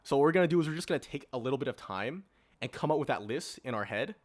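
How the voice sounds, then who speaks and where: A man's voice: mid-range voice, lively intonation, racing. A man in his early twenties hosting a casual podcast discussion, informally steering and organizing the conversation. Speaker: podcast host.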